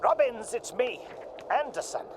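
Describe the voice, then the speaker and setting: A man's voice: very high voice, animated, a little slow. A man playing an urgent, melodramatic secret informant leaving a grave recorded message in a comic audio drama. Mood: urgent, secretive. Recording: clear background noise, vehicle-like ambience.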